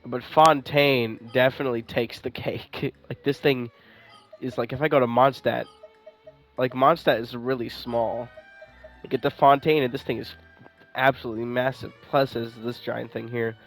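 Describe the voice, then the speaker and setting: A man's voice: medium pitch, fairly even intonation, moderate pace. A man doing casual, rambling gameplay commentary, thinking aloud and swearing now and then. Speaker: gaming streamer playing and commenting. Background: game music.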